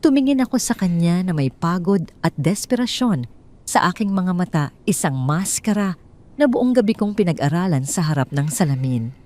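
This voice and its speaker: A woman narrating a first-person drama in a calm, measured, coolly descriptive way. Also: lowish voice. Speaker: storyteller.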